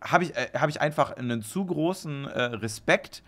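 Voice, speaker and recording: A man's voice: a little high, animated, moderate pace, fairly bright tone. A young man co-hosting a podcast in casual chat, rambling with lots of fillers, swearing often and laughing easily. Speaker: podcast co-host.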